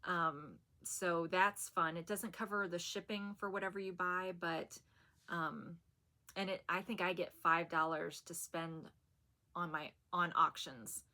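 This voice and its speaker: Soft-voiced chatty woman vlogger. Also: lowish voice, steady tone, a little slow. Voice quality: soft and soothing, said to put people to sleep. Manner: chatty and rambling, with soft fillers and laughs. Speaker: haul vlogger. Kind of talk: casual chat to camera.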